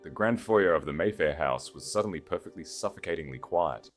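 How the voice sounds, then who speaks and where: A man narrating a scripted audiobook story with measured, solemn, literary phrasing and dramatic delivery. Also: very deep voice, expressive, faint music behind.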